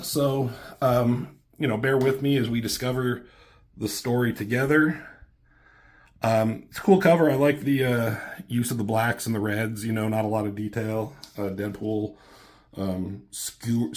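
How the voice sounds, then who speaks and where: Man, 30s, mid-pitched, voice slightly off from a cold, halting and casual with lots of ums, swears freely. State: getting over a cold, voice slightly off. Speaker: comic book reviewer. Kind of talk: casual rambling review. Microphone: camera mic.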